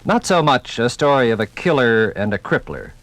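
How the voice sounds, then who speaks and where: A man's voice: medium pitch, big pitch swings, normal speed, a bit bright, rough recording. Grave 1950s American radio narrator, man. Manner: grave, emphatic, rhetorical. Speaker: documentary narrator.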